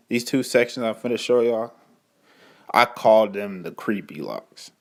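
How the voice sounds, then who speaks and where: A young man, talking through a casual, chatty voice-over for his vlog with some hesitation. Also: lowish voice. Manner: laid-back, chatty, a bit hesitant. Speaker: vlogger.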